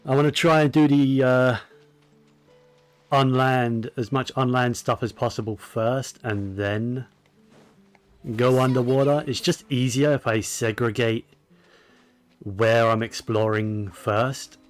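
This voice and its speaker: A man giving casual gameplay commentary, musing to himself in a low-key way. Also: fairly even intonation, takes it slowly. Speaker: gaming streamer. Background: faint game music.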